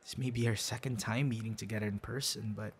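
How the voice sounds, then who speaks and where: A man's voice: lowish voice, fairly even intonation, fast, slightly rough. A man acting as a friendly, teasing and supportive young would-be boyfriend in an ASMR audio roleplay, speaking conversationally and reassuringly. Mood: earnest, reassuring.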